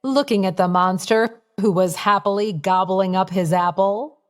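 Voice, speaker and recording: A woman reading a scripted bedtime story for young children in a simple, expressive storytelling manner. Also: medium pitch, moderate pace.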